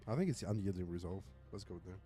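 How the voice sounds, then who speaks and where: Male game streamer, soft dark timbre, casual reactions and chat, light music underneath. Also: low voice, animated, racing. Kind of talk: casual livestream commentary.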